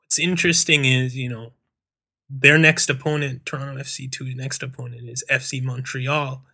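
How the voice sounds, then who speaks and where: A man hosting a soccer discussion, giving measured, hedging analysis. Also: medium pitch, little pitch movement, sharp tone. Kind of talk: casual chat.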